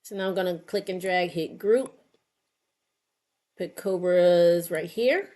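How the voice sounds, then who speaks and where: A middle-aged woman hosts an informal how-to, her voice sitting a little low. She explains each step unscripted, thinking aloud as she goes. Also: takes it slowly, mellow. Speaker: craft tutorial host. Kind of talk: informal step-by-step screen tutorial narration.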